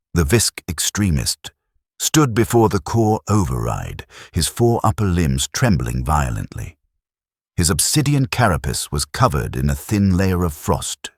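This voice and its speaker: A man narrating a science fiction audiobook in a steady, dramatic storytelling manner, close to a studio mic. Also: rumbling low, breathy edge, dry recording. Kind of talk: scripted narration.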